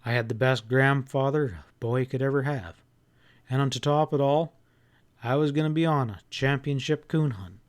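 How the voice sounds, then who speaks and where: A man narrates a classic novel in the first person. His mid-pitched voice is folksy and expressive, leaning into the drama of the telling. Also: normal speed. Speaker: audiobook narrator.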